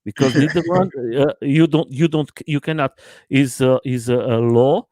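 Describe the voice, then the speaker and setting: Hesitant man from Portugal, halting English. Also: medium pitch, warm tone, dry recording. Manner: halting, searching for words, many fillers. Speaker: guest. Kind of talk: casual live stream chat.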